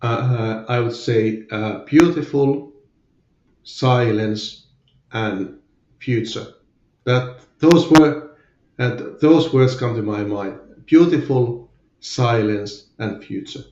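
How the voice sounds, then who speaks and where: A man's voice: mid-range voice, slow pace, a little mellow, roomy sound, muffled recording. A man with a Finnish accent, a guest in a candid podcast interview, speaking hesitantly with many fillers. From Finland. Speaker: guest, company CFO.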